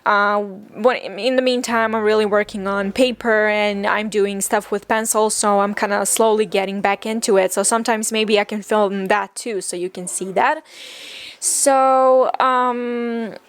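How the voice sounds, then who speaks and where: A woman's voice: mid-range voice, fairly even intonation, measured pace, smooth. A woman of twenty from Serbia, a native Serbian speaker talking in English with a Serbian accent, hosting a casual solo podcast in a reflective way with many fillers and restarts. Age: 20s. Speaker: podcast host.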